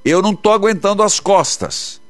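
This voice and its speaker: A man, a priest hosting a call-in program, who counsels callers fervently and rises sharply in pitch when he exhorts them. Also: lively intonation, moderate pace, no room sound, faint music behind.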